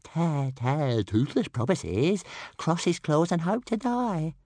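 A man voicing an excitable, indignant little male dragon who stammers and talks about himself by name, in an audiobook story. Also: on the high side, slow, dry recording, pitched above the performer's own voice, exaggerated voice. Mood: eager, promising.

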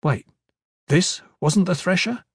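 Guy playing a fed-up teen boy, defiant, lively voice. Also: sits high, slow, vocal fry, breathy edge, no room sound, clean studio sound, voice pushed up, full character voice. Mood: bewildered. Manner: defiant, exasperated. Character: indignant teenage boy, defiant.

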